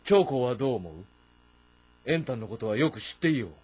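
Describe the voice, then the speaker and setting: An actor playing a commanding, shrewd warlord of ancient China in a dramatic game, with a terse, authoritative manner. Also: male voice, mid-range voice, animated, fairly quick, darkish tone, husky. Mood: stern. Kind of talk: dramatic audio-novel game acting.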